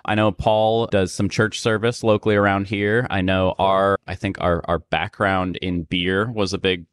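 A man hosting a podcast from a coffee shop, relaxed and quick to laugh, with lots of fillers. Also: deep voice. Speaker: podcast host and coffee roaster.

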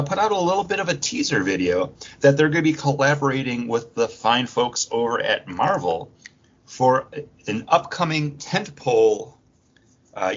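A man with a lowish, rough-edged husky voice co-hosts a podcast. He talks casually, like an enthusiastic fan sharing news. The sound is a bit dull and lo-fi. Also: a bit bright. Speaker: podcast co-host. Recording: dull lo-fi recording.

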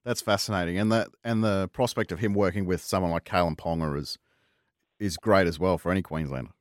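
A man's voice: low-pitched, steady tone, a little fast, no room sound, clean studio sound. A man hosting a casual podcast interview, speaking in an easygoing way with some hesitant fillers. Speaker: podcast host.

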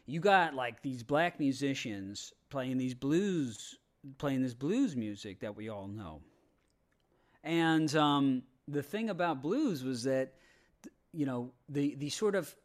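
Halting, rambling man talking off the cuff. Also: medium pitch. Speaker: podcast panelist. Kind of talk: casual opinionated talk.

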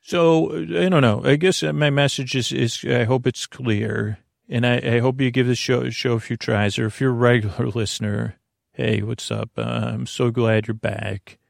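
A man hosting a bedtime podcast in a halting, meandering, earnest and reassuring ramble, with a gravelly voice, close on the mic. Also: medium pitch, steady tone, no echo. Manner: halting, meandering, trailing off mid-sentence. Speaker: podcast host.

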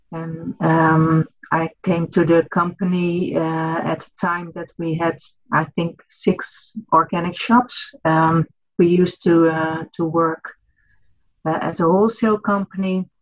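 A Dutch-accented woman in her fifties, a podcast guest, speaking haltingly with many fillers and restarts. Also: on the low side. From Netherlands. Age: 50s. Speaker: guest, cooperative chairwoman. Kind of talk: podcast interview. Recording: dull lo-fi audio.

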